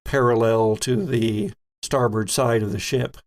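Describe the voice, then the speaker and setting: A man narrating a tabletop horror role-playing game as game master, setting scenes deliberately with pauses mid-phrase. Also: mid-range voice, steady tone, darkish tone.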